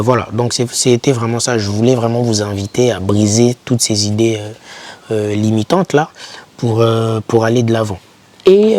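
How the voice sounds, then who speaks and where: Young guy w a low voice giving pep talk advice on his vlog, casual, repeats stuff for emphasis. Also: a little mellow, slight background noise. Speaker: YouTuber advising foreign students. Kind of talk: informal motivational advice to camera.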